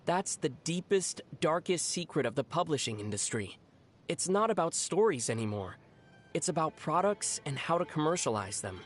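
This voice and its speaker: An actor voicing a calm, teasing young adventurer in a video game, with a dry, knowing manner. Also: medium pitch, moderate pace, faint music behind, lightly acted. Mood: dryly ominous. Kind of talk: video game character voice acting.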